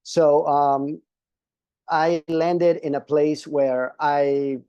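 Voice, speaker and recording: A man whose first language is Spanish, talking on a webinar panel in a halting way, with many restarts and fillers. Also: fairly high pitch, level tone, dark tone, clean tone, no echo, highs cut off. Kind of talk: casual panel talk.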